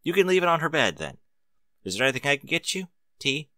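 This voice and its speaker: A man's voice: a little high, big pitch swings, quick pace, pushed and bright, dry recording, clean studio sound, voice pushed up. A man voicing a young male dragon who is eager to please and awkwardly bashful, in a storybook reading. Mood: eager, polite.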